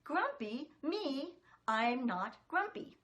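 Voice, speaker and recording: A grumpy storybook monkey, acted by a woman, high-pitched and loud. He is stubborn and indignant, pushing out each word with emphasis and swooping pitch. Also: big pitch swings, takes it slowly, full character voice. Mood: indignant denial. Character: grumpy young male monkey, stubbornly defensive.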